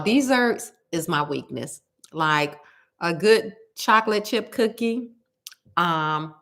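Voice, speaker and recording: A woman with a loud, carrying voice, chatting casually to her viewers and telling stories with lots of emphatic repetition. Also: deep voice. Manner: animated storytelling, repeats words for emphasis. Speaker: cooking vlogger.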